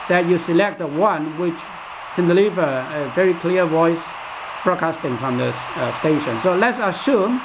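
Male product demonstrator, high-pitched with a creaky edge, halting step-by-step instructional delivery. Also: noisy background. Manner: halting, repetitive, instructional, with imperfect English grammar. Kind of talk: unscripted step-by-step product tutorial.

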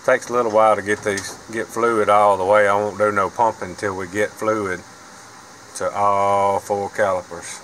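A man's voice: fairly low pitch, flat delivery, normal speed, fairly bright tone, a little hiss or hum, low-quality recording. A man, talking casually and plainly as he drives and works on a race car. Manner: folksy, plain-spoken, rambling. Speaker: vlogger and racer. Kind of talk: casual vlog narration. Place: inside a truck cab, then a race car cockpit.

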